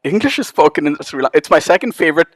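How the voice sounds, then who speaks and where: A man talking about his travels at a book event, animated and quick to laugh. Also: a little high, no echo, studio quality. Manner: animated storyteller, laughs often. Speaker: author. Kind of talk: casual talk at a book event. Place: event hall with live audience.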